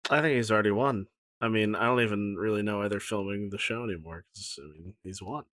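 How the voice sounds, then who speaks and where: A man's voice: fairly low pitch, quick pace, fairly bright tone, no echo, studio quality. A man co-hosting a podcast in casual chat, joking and emphatic. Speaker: podcast co-host. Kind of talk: casual chat, reality TV recap.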